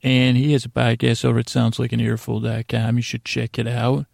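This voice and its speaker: Gravelly, mellow man rambling at bedtime. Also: mid-range voice, completely flat delivery, dry recording, studio quality. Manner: meandering, halting, repeats and trails off mid-thought. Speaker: sleep podcast host.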